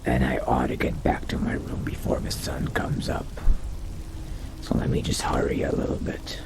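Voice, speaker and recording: A man voicing a brooding, studious vampire mage in a video game roleplay, musing quietly to himself in a whispery, gravelly voice. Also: rumbling low, completely flat delivery, heavy background noise. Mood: musing, detached. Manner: slow, measured, musing to himself. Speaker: roleplay gamer. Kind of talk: in-character game roleplay narration.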